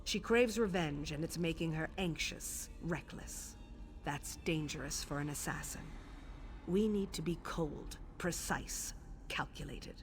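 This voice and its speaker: A woman voicing a cold, stern assassin matron in a fantasy game, speaking in measured, commanding phrases. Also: sits low, faint music behind. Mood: cold, grave.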